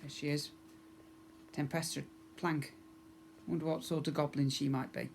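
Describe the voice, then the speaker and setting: A man's voice: a little high, flat delivery, normal speed, vocal fry, a little hiss or hum. A man narrating a children's story expressively, as a teacher reading to young pupils.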